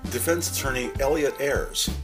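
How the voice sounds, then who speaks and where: A man reading scripted descriptive narration in a measured, announcer-like way. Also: mid-range voice. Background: background music.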